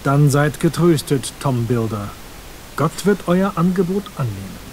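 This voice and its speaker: A measured, pious prior, slightly raised voice, faint hiss. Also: male voice, on the high side, very animated, a little mellow, low-quality recording. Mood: solemn. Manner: measured, solemn, instructive. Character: calm, devout male prior, middle-aged. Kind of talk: game voice acting.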